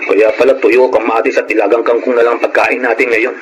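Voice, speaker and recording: Frank young Filipino son, mid-pitched played by an actor. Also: male voice, little pitch movement, fairly quick, noisy background, lightly acted. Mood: complaining. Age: young adult. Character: frank, resigned college-age son. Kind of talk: Filipino radio drama acting.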